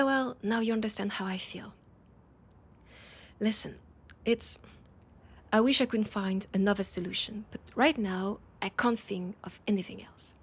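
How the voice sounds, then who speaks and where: A woman with a French accent playing a proud, worried vampire of a supernatural council in an intimate audio roleplay, speaking in an earnest, halting way. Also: medium pitch, slightly rough. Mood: reluctant, pleading.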